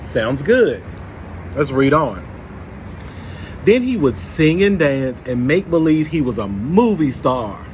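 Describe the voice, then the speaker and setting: Guy with a slightly higher voice reading a kids book out loud, checks in with the listeners, bit of background noise. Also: big pitch swings. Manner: pauses to prompt young listeners. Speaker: storybook reader for children. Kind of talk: picture book read-aloud with questions to listeners.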